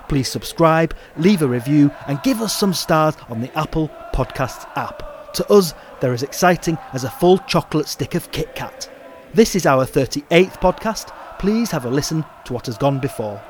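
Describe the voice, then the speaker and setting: A man's voice: a little high, darkish tone, slight background noise. A man hosting a football podcast, reading a jokey scripted intro and chairing a live panel. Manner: dry, jokey delivery. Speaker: podcast host.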